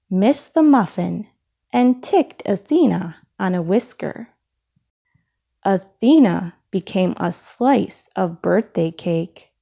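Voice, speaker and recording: A woman reads a children's picture book aloud with expressive care. Her pace is very unhurried, and her voice is soft and mellow with some fry in it. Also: medium pitch. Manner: deliberate, expressive storytelling. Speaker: storybook reader.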